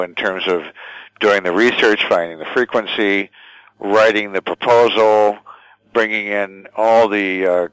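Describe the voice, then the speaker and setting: A man in his sixties speaking reflectively as a radio executive being interviewed, feeling his way through his sentences in a halting, thoughtful manner. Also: lowish voice, fairly bright tone, no room sound, rough recording. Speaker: radio station general manager, interview guest. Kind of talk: conversational interview, reflective storytelling.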